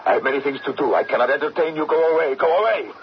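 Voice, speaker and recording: A man's voice: fairly high pitch, rapid-fire, close to an everyday voice. An actor playing a strange, secretive middle-aged gravedigger father in an old-time radio drama, curt and suspicious. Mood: hostile, suspicious. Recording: muffled, noisy old radio broadcast.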